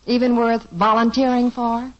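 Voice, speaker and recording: A woman playing a caring housewife and mother in a 1950s radio sitcom, soft and motherly. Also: fairly high pitch, flat delivery, normal speed, muffled recording, natural voice. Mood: warm.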